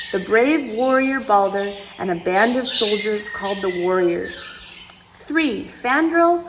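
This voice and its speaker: Slow-paced woman storyteller with noisy background. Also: on the high side. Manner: addresses young listeners directly, playful asides, dramatic emphasis. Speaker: children's storyteller reading aloud. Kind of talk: expressive picture-book read-aloud for children.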